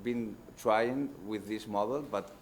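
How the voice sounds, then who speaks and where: A man on a university seminar panel, speaking haltingly with many fillers. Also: on the low side, a little hiss or hum. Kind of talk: academic panel discussion.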